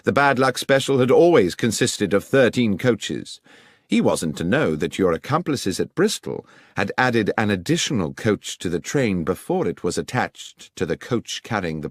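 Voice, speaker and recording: A man voicing a brisk, coolly confident Victorian detective with an English accent, precise and authoritative, in an audiobook. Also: mid-range voice, no echo, studio quality. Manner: precise, authoritative, methodical questioning. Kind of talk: audiobook character dialogue.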